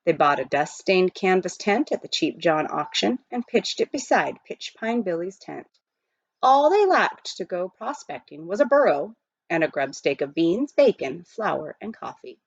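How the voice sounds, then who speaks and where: A woman reads a children's story aloud with a lively, swooping delivery. The recording is dry and close, though slightly muffled and lo-fi. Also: lowish voice, measured pace, breathy edge, dry recording. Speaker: reader of a children's novel. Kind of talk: expressive read-aloud storytelling.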